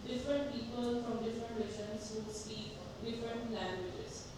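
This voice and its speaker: A woman from Pakistan with a Pakistani accent, whose first language is Punjabi, giving an earnest, halting personal talk at a seminar and often saying "you can say". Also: on the high side, steady tone. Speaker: seminar speaker. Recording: echoey hall, mic far off, noisy.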